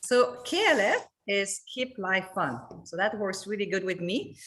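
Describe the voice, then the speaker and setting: A woman's voice: mid-range voice, animated, a little slow, fairly bright tone, slight background noise. Woman in her forties, panel guest, laughs a lot, many restarts. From Montreal, Canada. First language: French. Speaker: company executive guest. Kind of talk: casual panel discussion.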